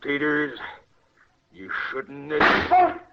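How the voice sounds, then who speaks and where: An actor playing a bitter outlaw losing his nerve in a 1950s radio western, agitated and rough in his frontier talk. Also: fairly high pitch, huge pitch swings, extremely slow, heavy background noise, full character voice. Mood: desperate. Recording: muffled old radio recording.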